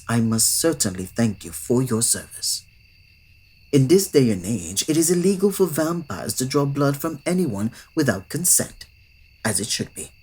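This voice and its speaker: A man voicing a centuries-old male vampire in an ASMR roleplay, speaking with formal, old-fashioned courtesy in a soft, breathy voice that often drops to a whisper. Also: fairly low pitch, a little hiss or hum, poor audio.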